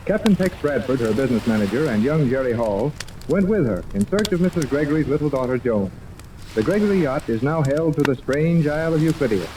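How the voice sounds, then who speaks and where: A man's voice: mid-range voice, lightly acted. A man narrating a 1930s radio serial as a grandiose, dramatic announcer. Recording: distant, noisy 1930s radio recording.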